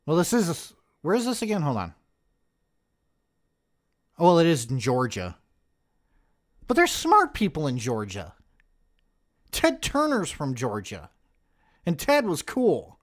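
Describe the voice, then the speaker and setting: A man's voice: fairly high pitch, wildly swooping pitch, takes it slowly, pauses often. A man in his forties reacting to a video with casual, profane, mocking commentary and joking asides. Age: 40s. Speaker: video host reacting to footage.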